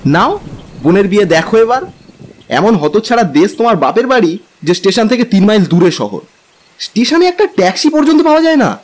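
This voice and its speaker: A man playing a young, easily exasperated husband in an audio drama, speaking in an expressive, theatrical way. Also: high-pitched, fairly quick. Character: young middle-class husband, grumbling, exasperated traveller.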